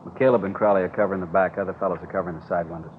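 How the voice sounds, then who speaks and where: An actor playing a brisk police officer giving clipped reports in old radio drama style. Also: male voice, sits low, steady tone, slight background noise, close to an everyday voice. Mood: alert. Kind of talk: 1940s radio acting. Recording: tinny old radio recording.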